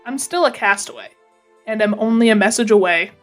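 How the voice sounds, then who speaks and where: A woman voicing a brisk, bossy but reassuring llama castaway in an amateur comedy radio drama. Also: medium pitch, soft background music, natural voice. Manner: brisk, no-nonsense.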